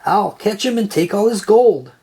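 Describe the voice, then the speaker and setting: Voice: mid-range voice, moderate pace, dark tone, breathy edge, voice pushed up. A storyteller voicing a sly, greedy old male cartoon crab in a children's storybook.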